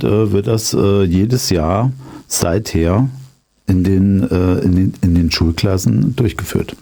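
A man in his fifties giving explanatory interview answers as a police road safety teacher, halting with frequent pauses. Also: sits low, warm tone. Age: 50s.

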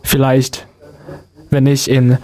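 A young man speaks as a guest on a radio program. His voice sits at a middle pitch and carries a Chinese accent. He gives explanatory answers in halting, non-native phrasing. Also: monotone, mellow. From Guangzhou, southern China. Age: young adult. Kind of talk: interview answers about everyday culture.

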